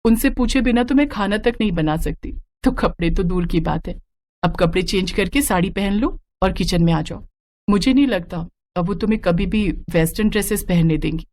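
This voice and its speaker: A woman's voice: mid-range voice, moderate pace, darkish tone, natural voice. A woman voicing an obedient elder sister-in-law in a story, with a consoling, resigned manner.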